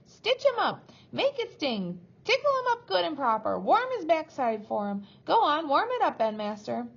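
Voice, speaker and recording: A woman voicing a tiny, loathsome woman who screeches in a high, frenzied, gleefully cruel way. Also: big pitch swings, normal speed, a bit bright, highs cut off. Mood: gleeful shrieking. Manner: frenzied, goading, working-class slang.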